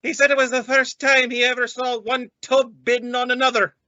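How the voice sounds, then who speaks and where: A woman's voice: high-pitched, flat delivery, brisk, heavy background noise, lightly acted. A woman playing a feisty, vain, easily offended landlady with a blustering manner in a radio comedy. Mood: chatty. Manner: blustering, indignant, swooping. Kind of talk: old-time radio comedy acting. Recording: dull lo-fi recording.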